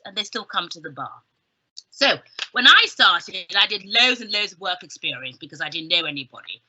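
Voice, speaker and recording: A woman's voice: medium pitch, sharp tone, husky. A middle-aged woman with a southern English accent giving an informal, chatty careers talk as a guest speaker, with emphatic delivery and humorous asides. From southern England. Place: small indoor room with bookshelves, on a video call. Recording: slightly dull video-call sound.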